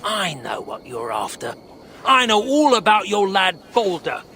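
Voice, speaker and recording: A man's voice: high-pitched, wildly swooping pitch, a little slow, pushed and bright, slight background noise, exaggerated voice. An actor playing a grumbling, grieving dwarf smith in a video game, blunt and salty in his talk. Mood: indignant. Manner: blunt, colloquial, salty-tongued. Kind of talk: video game dramatic acting.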